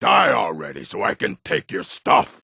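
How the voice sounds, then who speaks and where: An actor playing a hardened orc convict in a video game, cold, blunt and menacing, with a gravelly voice. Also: male voice, deep voice, big pitch swings, moderate pace, pushed and bright, dry recording, studio quality, heavily acted. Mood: cold menace. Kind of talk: video game character acting.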